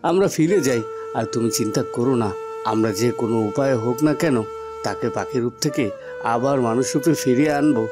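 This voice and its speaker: Man acting reassuring young prince, cartoon, earnest, mid-pitched, prominent background music. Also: natural voice. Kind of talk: dramatic cartoon storytelling.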